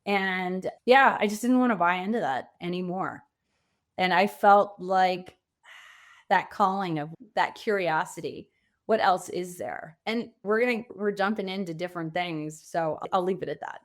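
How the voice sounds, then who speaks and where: A woman in her fifties speaks as an interview guest. Her voice sits a little low, and she answers in a candid, reflective, conversational way. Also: measured pace. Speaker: podcast guest, career coach.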